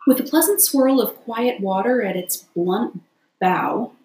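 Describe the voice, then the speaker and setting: A woman's voice: medium pitch, lively intonation, unhurried, warm tone, a little hiss or hum. Woman reading a storybook, echoey room. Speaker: audiobook reader. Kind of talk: expressive storybook narration.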